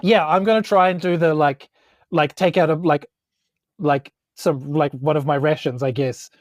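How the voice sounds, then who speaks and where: A woman's voice: sits low, fairly even intonation, normal speed, a little mellow, no room sound, studio quality. A woman with a New Zealand accent chats casually as a player in a tabletop role-playing game. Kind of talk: casual improvised game chat.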